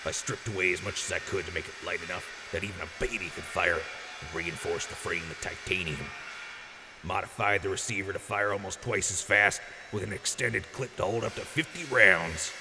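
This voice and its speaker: A man playing a boisterous, generous wasteland quartermaster in an audio drama, with a hyped showman's delivery. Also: a little fast. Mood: proud, easygoing. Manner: hyped showman with booming catchphrase outbursts. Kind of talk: post-apocalyptic audio drama acting. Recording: noisy, reverberant mix.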